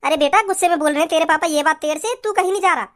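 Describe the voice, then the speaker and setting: Protective cartoon mum played by a performer, very high voice, brisk delivery, firm with her family. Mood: soothing. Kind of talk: comedy cartoon dialogue.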